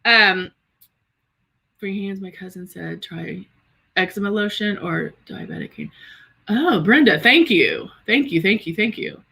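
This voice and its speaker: A woman's voice: mid-range voice, lively intonation, unhurried, gravelly, sharp tone. A woman of about fifty hosting a live makeup stream, chatting casually and exclaiming to viewers as she demonstrates and reads their comments. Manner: chatty and exclamatory, addressing viewers as girl and guys.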